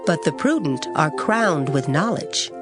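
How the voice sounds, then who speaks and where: Female scripture reader, slightly low voice, solemn measured dramatic delivery over a music bed. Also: animated. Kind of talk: dramatized Bible reading.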